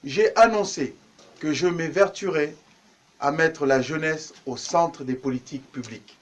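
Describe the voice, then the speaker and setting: A man's voice: a little high, unhurried. A man, a government minister, giving a formal, ceremonious official speech.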